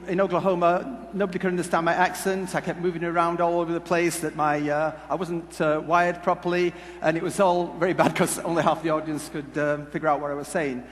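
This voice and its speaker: A man giving a humorous conference talk, telling stories in a jokey, halting, rambling way. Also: sits high. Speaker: conference speaker. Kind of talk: humorous stage presentation with storytelling. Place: large conference hall before an audience. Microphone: headset mic. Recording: echoey hall PA with background noise.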